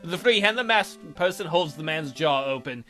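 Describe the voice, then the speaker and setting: A man's voice: a little high, moderate pace. A man hosting a let's play, reading a visual novel aloud with goofy, joking asides. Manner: jokey, animated, goofy asides. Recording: background music under voice.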